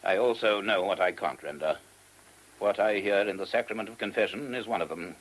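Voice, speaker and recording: An actor playing a courteous, earnest monastery abbot with a British accent and a hesitant, genteel manner in a radio play. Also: male voice, on the low side, fairly quick, fairly bright tone, low-quality recording. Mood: firm, guarded. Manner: hesitant, genteel, old-fashioned English turns of phrase. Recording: old radio drama recording.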